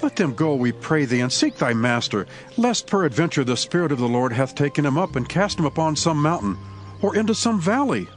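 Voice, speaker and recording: A man's voice: on the high side, sing-song, a little fast, faint music behind. An actor playing an eager, deferential male disciple in a dramatized scripture, speaking in an earnest, declamatory way. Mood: urgent pleading.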